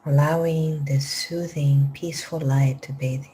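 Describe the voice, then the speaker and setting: A woman meditation teacher leading a live guided meditation, speaking slow, soft instructions that often drop to a whisper. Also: low-pitched, fairly even intonation, soft background music, rough recording. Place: live group session.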